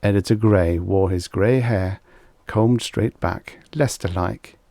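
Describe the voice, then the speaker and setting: A man's voice: deep voice, slow pace, dark tone, dry recording. A man reading a vintage science fiction short story as scripted audiobook narration.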